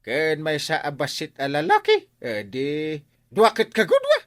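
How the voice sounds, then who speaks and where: A man with an Ilocano accent playing a boastful, excitable village man in a radio comedy drama, exaggerated and comic, with vocal sound effects. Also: a little high, measured pace, dry recording, studio quality, heavily acted. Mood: boastful, animated.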